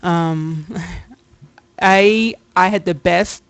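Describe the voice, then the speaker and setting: A woman's voice: fairly low pitch, extremely slow, dry recording. A woman in her thirties with a Kentucky accent, co-hosting a podcast and telling stories in casual, laughing chat. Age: 30s. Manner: laughing, chatty storyteller. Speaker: podcast co-host.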